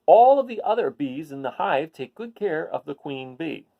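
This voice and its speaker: A man's voice: a little high, sing-song, moderate pace, creaky, narrow, tinny audio. A man reading a children's picture book aloud, adding casual asides and putting questions to his listeners. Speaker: read-aloud host.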